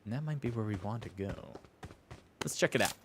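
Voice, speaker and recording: A man's voice: on the low side, expressive, brisk, noisy background. A man casting a live gaming stream in a casual, rambling, self-deprecating way. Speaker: esports livestream caster. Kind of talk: casual live game commentary and chat. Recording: music behind the voice. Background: music.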